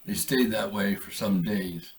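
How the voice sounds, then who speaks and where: A man reading aloud a short story he wrote, in a measured, deliberate way. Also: on the low side, recorded from a distance, a little hiss or hum, rough recording. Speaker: author reading his own story.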